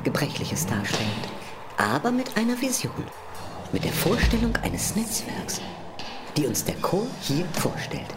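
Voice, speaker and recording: A woman's voice: low voice, big pitch swings, unhurried. A woman playing a musing tour guide in an experimental radio play, confiding and often dropping toward a whisper. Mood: hushed, musing. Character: female tour guide, hushed, musing, leading listeners through strange sphere. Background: music.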